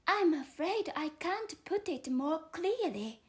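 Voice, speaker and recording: A woman's voice: very high voice, big pitch swings, takes it slowly, pitched above the performer's own voice, full character voice. A woman voicing a polite, puzzled young girl in a storybook reading, speaking earnestly and carefully. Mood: politely puzzled.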